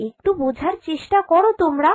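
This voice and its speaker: A woman's voice: high voice, fast, close to an everyday voice. A woman voicing a sensible, caring young woman of the family in a cartoon drama, earnest and reassuring. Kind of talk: Bengali cartoon drama dubbing.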